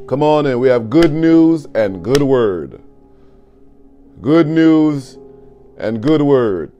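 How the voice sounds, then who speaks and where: A man in his fifties, a pastor preaching on an online church livestream, calling out to viewers with a strong, loud voice while gesturing freely. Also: medium pitch, expressive, slow pace, highs cut off, faint music behind. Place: home studio with city backdrop.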